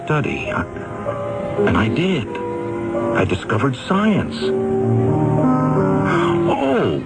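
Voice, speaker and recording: An actor playing a troubled, lonely scientist, hesitant and brooding, in a 1970s radio drama. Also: male voice, medium pitch, wildly swooping pitch, very slow, creaky, full character voice. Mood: searching, hesitant. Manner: hesitant, brooding, searching his memory. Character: troubled, lonely, self-doubting male scientist. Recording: vintage radio drama with music behind.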